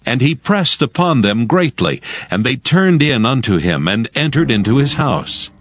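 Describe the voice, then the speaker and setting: A man narrating dramatized scripture in a formal, measured manner. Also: mid-range voice, very animated, a bit bright.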